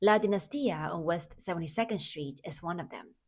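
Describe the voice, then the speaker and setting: A young woman host narrating a food documentary in a clear, even storytelling tone. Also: fairly low pitch, fairly quick. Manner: clear, even storytelling with brief mm-hmm cues. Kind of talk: scripted documentary narration and interviewing.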